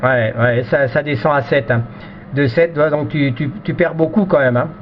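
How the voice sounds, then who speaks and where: A man with a slightly high voice chats casually over amateur radio. He repeats himself and often tags his phrases with "hein". The sound is dull and lo-fi, with light background noise. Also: moderate pace. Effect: two-way radio sound.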